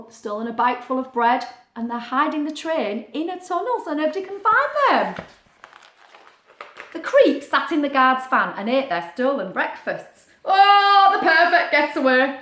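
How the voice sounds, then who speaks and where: A woman reading a picture book aloud to young children, expressive, with chatty asides and questions. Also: high voice. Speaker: children's story reader. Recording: roomy, echoing sound.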